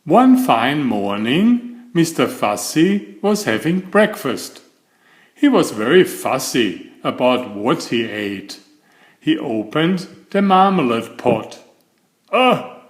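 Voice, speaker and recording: A man reading a children's storybook aloud in a measured, expressive storytelling manner. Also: mid-range voice. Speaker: storybook narrator. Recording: roomy, echoing sound.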